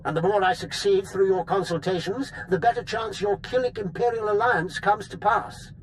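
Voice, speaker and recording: An actor playing an ambitious, scheming political operator in a video game, formal, deliberate and persuasive in his speech. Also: sits high, a little hiss or hum, lightly acted. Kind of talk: video game cutscene acting.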